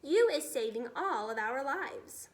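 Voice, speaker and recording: A woman's voice: sits high, expressive, a little slow. A woman voicing a kindly, bossy giant with folksy, mangled grammar in a children's storybook reading. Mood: friendly, firm. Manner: ungrammatical, folksy mangled words. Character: kindly big friendly giant, bossy and reassuring.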